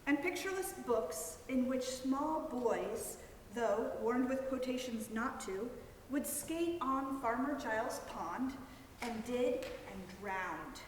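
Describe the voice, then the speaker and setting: A woman reading a literary story aloud as a measured dramatic narration. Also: a little high. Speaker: reader in a church's dramatic reading. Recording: very echoey hall, distant mic, faint noise.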